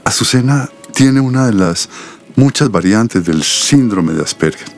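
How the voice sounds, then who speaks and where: A middle-aged Colombian doctor, a protective father with a very deep voice. He speaks in a measured, confiding and serious way, as in a radio soap, over faint music. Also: expressive. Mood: earnest, explanatory. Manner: measured, solemn, articulate. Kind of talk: radio soap opera acting.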